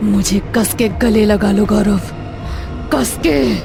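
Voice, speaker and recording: A man voicing a seductive, cruel female ghost bride in an animated horror story. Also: high-pitched, slow, vocal fry, music in the background, voice pushed up, heavily acted. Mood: menacing. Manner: teasing, menacing.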